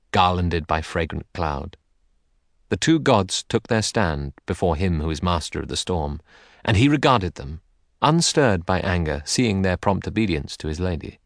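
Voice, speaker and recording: Male audiobook narrator for epic poetry: very low register, dramatic literary delivery, dry studio sound. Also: animated, moderate pace, no room sound.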